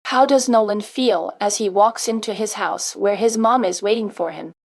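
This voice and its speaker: A woman reading scripted comprehension narration for English learners in a measured, articulate manner. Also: medium pitch, creaky, no echo, clean studio sound. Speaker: question-and-answer presenter for English learners. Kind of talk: scripted question-and-answer narration.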